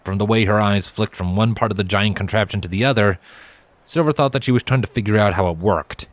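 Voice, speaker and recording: A man reading fan fiction aloud as an expressive, scripted storyteller. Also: on the low side, a little fast, no room sound.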